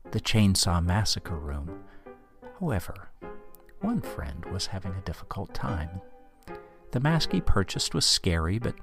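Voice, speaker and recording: Animated male storyteller with music behind. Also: low voice. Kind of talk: scripted spooky story narration.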